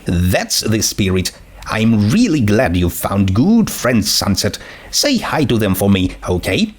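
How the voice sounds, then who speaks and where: A man's voice: lowish voice, very animated, slow pace, exaggerated voice. A man voicing a dutiful young princess, a friendly but firm female friend, reasonable and apologetic, in a story reading. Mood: encouraging.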